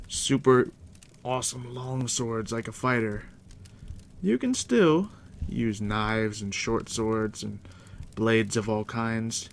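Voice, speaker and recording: A man speaking softly and unhurriedly as a game master in an ASMR roleplay, explaining things straight to the listener in a hushed, gravelly voice. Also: lowish voice. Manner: unhurried, conversational, addressing the listener directly. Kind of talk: soft-spoken ASMR roleplay, explaining tabletop game rules. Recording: steady background noise, fire crackle. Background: crackling fire.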